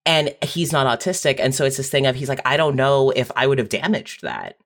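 Mid-pitched podcast writer, casual and halting. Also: lively intonation, a bit bright. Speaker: audio fiction writer on a podcast. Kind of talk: casual, reflective panel discussion.

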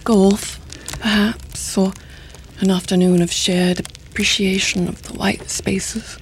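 An actress playing a sly, seductive middle-aged intelligence handler, teasing and insinuating in formal, deliberate diction. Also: female voice, medium pitch, level tone, slight background noise, low-quality recording. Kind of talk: audio drama acting.

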